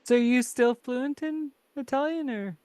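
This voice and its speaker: A woman's voice: high-pitched, unhurried, a little mellow, clean tone, no echo. A woman hosting a podcast, chatting casually with frequent laughs and lots of filler words. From Canada. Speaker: podcast host.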